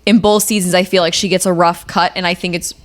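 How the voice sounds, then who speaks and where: A woman's voice: fairly low pitch, level tone, fast. A woman co-hosting a podcast in casual, chatty, informal banter. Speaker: podcast co-host.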